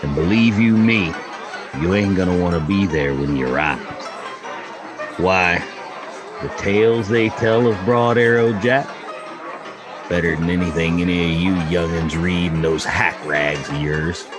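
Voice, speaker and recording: A man's voice: low voice, over music, crowd noise. A man voicing a cantankerous old Wild West storyteller with a wheezy, folksy delivery, in an audiobook reading. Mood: boastful, scornful. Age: older. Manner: folksy, gruff, drawn-out yarn-spinning.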